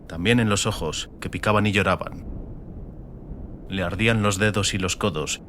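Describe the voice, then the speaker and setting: Male narrator for a fantasy audiobook, fairly low register, dramatic scripted delivery. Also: fairly bright tone, slight background noise.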